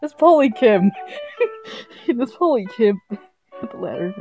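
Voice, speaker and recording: A woman's voice: a little high, sing-song, a little slow, warm tone, faint music behind. A woman streaming a visual novel, reading it aloud casually, often stumbling over the words in a giggly way. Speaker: game streamer.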